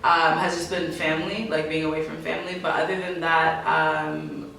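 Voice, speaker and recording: A woman's voice: low voice, normal speed, creaky, far from the mic, slight background noise. A young woman from Canada, answering interview questions in a casual way and laughing often. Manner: laughs often, animated, chatty. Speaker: interview guest. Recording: echoey room, voice from off mic.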